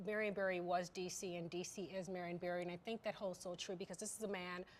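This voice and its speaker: A woman's voice: lowish voice, fairly even intonation, fast talker. A woman anchoring TV news, presenting and discussing the story with a colleague in a studio.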